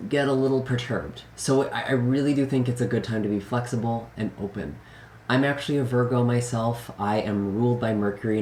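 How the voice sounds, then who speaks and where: A man hosting a tarot channel, speaking in a gentle, earnest way with frequent fillers, his voice slightly raspy after being sick. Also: on the low side, echoey room, a little hiss or hum. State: recovering from illness. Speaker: tarot reader. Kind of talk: casual channel intro.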